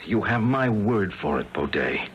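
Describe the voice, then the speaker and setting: An actor playing an earnest, reasoning American army sergeant in a 1970s radio drama, plain-spoken, with an American accent. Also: male voice, on the low side, sing-song, measured pace, muffled recording, slight background noise. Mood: earnest, pleading.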